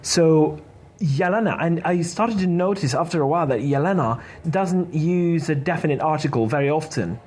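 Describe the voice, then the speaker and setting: A man's voice: fairly high pitch, moderate pace, darkish tone. A man with a Hungarian accent talks in a lightly raised voice. He is a podcast co-host in a casual interview, eager and chatty, often doubling words as he gathers his thoughts. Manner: eager, chatty, repeats words while thinking. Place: conference venue.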